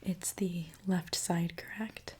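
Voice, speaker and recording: A woman doing an ASMR roleplay as a gentle mechanic, speaking in a soft, reassuring, attentive way. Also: on the low side, steady tone, slow pace, slight background noise. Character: gentle mechanic repairing a robot client. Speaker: ASMR creator.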